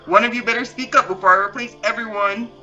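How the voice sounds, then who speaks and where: A woman's voice: on the high side, steady tone, fast, pushed and bright, lo-fi sound, faint music behind. A woman acting a bossy, scolding dance coach in a voiced roleplay series, emphatic and demanding. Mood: scolding, indignant.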